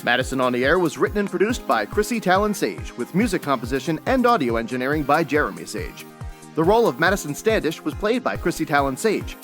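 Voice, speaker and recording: A man's voice: on the high side, very animated, fast talker, a bit bright, soft background music. A man working as announcer, reading scripted credits.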